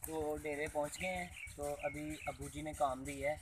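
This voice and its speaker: A man's voice: on the high side, steady tone, moderate pace. Young man vlogging outdoors. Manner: chatty, pointing things out to viewers. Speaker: village vlogger. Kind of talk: casual vlog commentary. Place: outdoors in rural rice fields. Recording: outdoor noise on camera mic. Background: open-air rural ambience.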